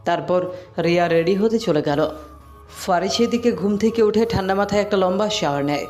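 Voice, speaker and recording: Storyteller for a scripted audio romance, mid-pitched narration over background music. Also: a little fast, darkish tone.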